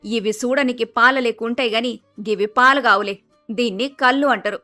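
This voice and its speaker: A woman's voice: on the high side, normal speed, bright, forceful tone, soft background music. A woman voicing a blunt, bossy middle-aged village mother-in-law with an emphatic Telangana Telugu accent, in a cartoon story. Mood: brisk, matter-of-fact. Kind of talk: acted dialogue in animated moral story.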